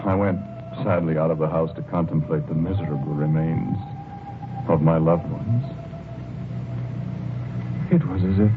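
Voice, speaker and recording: An actor playing a haunted, fearful husband in an old-time radio horror drama, speaking slowly and haltingly with brooding, heavy pauses. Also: male voice, fairly low pitch, natural voice. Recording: muffled, tinny vintage radio recording with music. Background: loud music.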